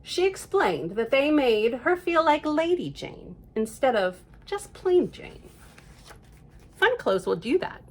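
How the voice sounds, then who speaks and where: A woman's voice: high-pitched, very animated, slow pace. A woman reading a children's story aloud expressively, breaking off for chatty, conversational asides to young listeners. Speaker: librarian storyteller.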